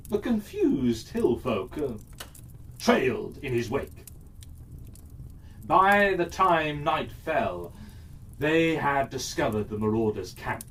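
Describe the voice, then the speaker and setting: Slow, expressive male fairytale narrator. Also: on the high side. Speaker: storyteller, narrator. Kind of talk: scripted children's fairytale narration. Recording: distant mic with faint background noise.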